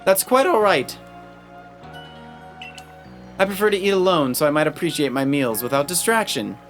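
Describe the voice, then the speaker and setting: A woman voicing a stern, aloof captain in a video game, formal, measured and cool, with music behind. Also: deep voice, animated. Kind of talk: voiced video game dialogue.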